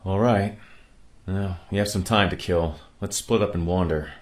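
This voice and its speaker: Spaceship captain, male, played by an actor, low-pitched, grave and weary, used to giving orders, radio-play science fiction. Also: normal speed, close to an everyday voice. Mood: uneasy, commanding.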